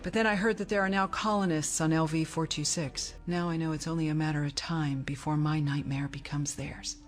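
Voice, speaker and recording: An actress plays a haunted, weary woman in an audio drama monologue. She speaks low and hushed, reflective and grave, with quiet music behind her. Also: fairly even intonation, brisk, lightly acted.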